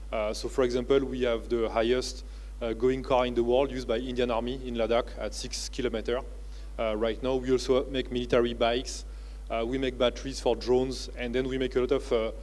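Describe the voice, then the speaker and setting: A man with a French accent giving a conference keynote talk, halting often with fillers like "uh" and "you know, like". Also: medium pitch, darkish tone. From France. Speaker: keynote speaker. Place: convention centre stage.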